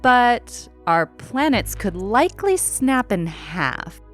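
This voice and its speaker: A woman playing a guarded, wry scientist in love, in a measured, understated reading of story dialogue. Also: fairly high pitch, sing-song, faint music behind. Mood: wry, careful.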